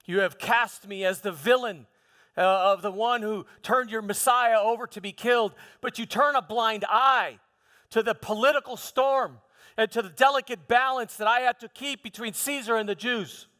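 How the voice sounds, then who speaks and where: A man playing a proud, defensive Roman governor in a live church monologue, declaiming emphatically with halting repeated words. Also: high-pitched, bright, forceful tone. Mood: defensive, indignant. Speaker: preacher performing a dramatic monologue. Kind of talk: first-person theatrical church monologue. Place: large hall, live church performance. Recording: long hall reverb.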